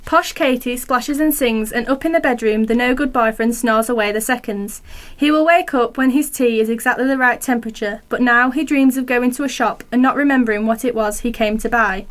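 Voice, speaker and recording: A woman's voice: a little high, brisk. A woman reading lyrical, scripted narration for a radio play.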